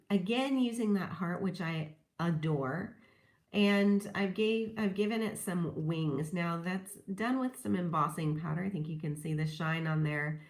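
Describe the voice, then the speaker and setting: A woman demonstrating papercrafting in a gentle, chatty tutorial, a little hoarse from a lingering cold. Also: medium pitch, echoey room. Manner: gentle, chatty, laughs softly while working. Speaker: papercrafting instructor. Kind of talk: casual craft tutorial demonstration.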